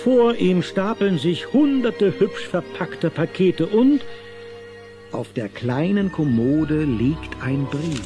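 A man's voice: fairly high pitch, animated, takes it slowly, over music. A man narrating a Christmas audio drama as a scripted storyteller. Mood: storytelling. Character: wry male storyteller of a Christmas tale.

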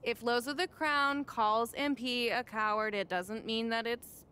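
A woman's voice: a little high, steady tone, measured pace, bright, forceful tone, slight background noise. An actress playing a blunt, calculating female adviser who argues emphatically and condescendingly, in a naturalistic video game cutscene style. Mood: wry, blunt.

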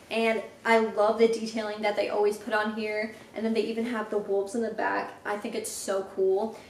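A woman's voice: fairly high pitch, a bit bright. A woman in her twenties in her living room, chatting casually through a clothing review, filling her talk with "like". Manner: chatty, filler-heavy with frequent "like". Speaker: fitness vlogger. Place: living room, seated on couch. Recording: echoey room.

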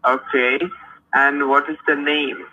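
A man's voice: medium pitch, level tone, slow pace, bright, forceful tone, a little hiss or hum. Insistent man on a muffled phone line. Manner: insistent, repetitive, presses for details. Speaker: phone scammer.

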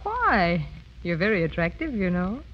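A woman's voice: lowish voice, very animated, unhurried, a little hiss or hum. An actress playing a haughty, spoiled young society woman, cool and needling, in 1930s radio comedy style. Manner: cool, needling, self-assured. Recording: muffled vintage radio broadcast.

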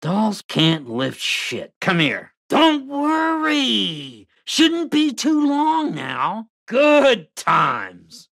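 An actor playing a foul-mouthed, short-tempered killer doll in a video game, with a raspy nasal snarl and mock-playful menace. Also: male voice, high-pitched, bright, forceful tone, full character voice. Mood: gleeful taunting. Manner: menacing mock-playful taunting, heavy swearing. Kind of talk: video game character voice lines.

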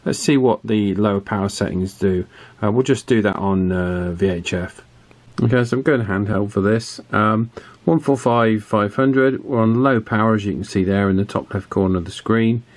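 British bloke, low voice, chatting through a casual hands-on gear review. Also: male voice, normal speed, dry recording. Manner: chatty, colloquial British turns of phrase. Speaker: radio reviewer.

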